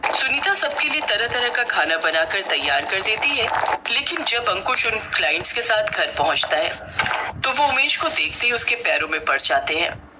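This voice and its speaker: A woman's voice: medium pitch, sing-song, moderate pace, noisy background. A woman narrating a scripted moral family story as a storyteller.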